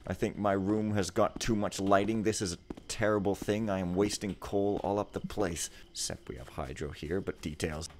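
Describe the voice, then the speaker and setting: A man's voice: sits low, steady tone, normal speed, hardly any pauses, a little mellow, a little hiss or hum. Laid-back man rambling over gameplay. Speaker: let's play host. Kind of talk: casual gameplay commentary.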